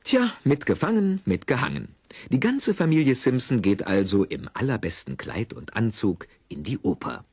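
A man's voice: mid-range voice, big pitch swings, normal speed, darkish tone, slightly rough, no echo. A man narrating a scripted audio play for children in a wry, teasing manner.

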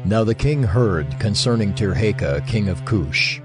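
A man serves as narrator for a dramatized scripture recording. His lowish voice moves expressively through the scripted story, with music behind him. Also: lively intonation, unhurried.

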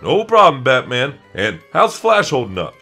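A man plays a helpful advisor to heroes in a game roleplay. His voice is bright and rough-edged, with lively rises and falls over a music bed. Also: mid-range voice, normal speed, heavily acted. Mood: helpful, cautioning. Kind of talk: improvised Minecraft roleplay.

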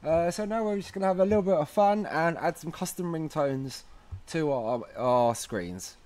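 A man's voice: fairly high pitch, lively intonation, moderate pace, darkish tone. A man hosting a how-to video, talking informally and chattily as he explains things step by step. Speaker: host of a how-to video series. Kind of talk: casual tutorial narration.

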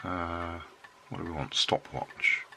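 An older man with a cold, calmly explaining a homemade circuit step by step at his hobby workbench. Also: deep voice, slow pace, gravelly, noisy background. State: has a cold. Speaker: electronics hobbyist. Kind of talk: casual workbench demonstration.

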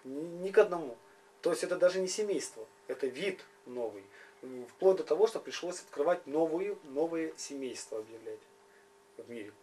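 A man with a dark, relaxed, slightly high voice interprets in an interview. He goes phrase by phrase, haltingly. There is faint noise around him. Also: far from the mic, poor audio. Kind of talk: informal spoken interpreting and interviewing.

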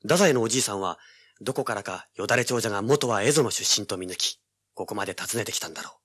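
A man's voice: fairly low pitch, fast talker, fairly bright tone. An actor playing a brash, driven young male treasure hunter in an audio drama, with intense dramatic delivery. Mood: intent, dramatic. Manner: cocky, intense, rough casual speech. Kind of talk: dramatic first-person narration and dialogue, audio drama.

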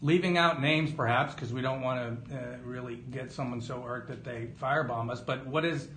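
A man's voice: mid-range voice, normal speed, a bit bright. A man hosting a boxing podcast, asking rambling, joking questions in a casual interview. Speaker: podcast host, boxing journalist. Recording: roomy studio with faint hum.

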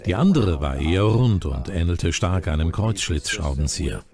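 A man's voice: low-pitched, expressive, moderate pace, music in the background. An actor voicing a male forensic witness recounting findings in a documentary dub. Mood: factual.

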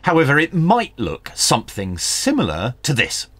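A man presents a hands-on gadget review with a lively, varied pitch that sits at a medium height. He explains each step in a practical way and slips in dry asides. The sound is close and dry, with very little room in it. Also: normal speed, slightly rough, no echo. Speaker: radio review channel host. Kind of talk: hands-on product review and tutorial narration. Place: small indoor home workshop.

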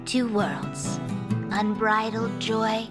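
An actress playing a solemn doctor, reading aloud in a grave, declarative documentary style. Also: female voice, on the high side, steady tone, drawn-out words, over loud music.

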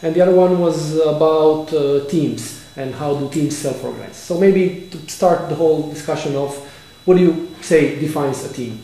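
A man with a fairly high but mellow voice asks his questions haltingly, searching for words. The room is very echoey and a faint ambience hangs behind him. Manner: hesitant questions with fillers. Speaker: interviewer. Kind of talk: conference interview.

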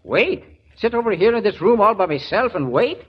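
A man's voice: high voice, sing-song, moderate pace, breathy edge, full character voice. An actor playing a grumbling, reluctant but good-natured policeman in a 1970s radio drama, full of blustery, exasperated protest. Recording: dull, noisy old radio recording.

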